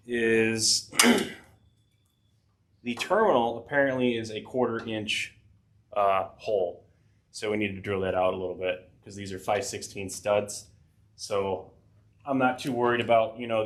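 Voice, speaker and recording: A man hosting a DIY tech channel, talking casually through a repair with a head cold, rambling with fillers and dry asides. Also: fairly low pitch. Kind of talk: casual DIY workshop narration. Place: home workshop.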